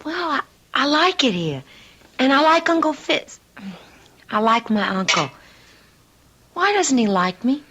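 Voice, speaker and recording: Streetwise teen girl, high-pitched, defiant yet soft-hearted, radio play on a noisy old recording. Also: sing-song, unhurried, pauses often, exaggerated voice. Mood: eager, friendly. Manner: brash, slangy, informal. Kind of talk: old-time radio drama acting.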